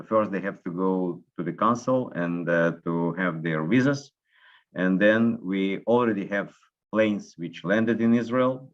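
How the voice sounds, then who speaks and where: A man giving an unscripted update as a guest coordinator on an online call, speaking haltingly in short pieces with muffled sound. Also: fairly low pitch. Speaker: guest, aid agency coordinator.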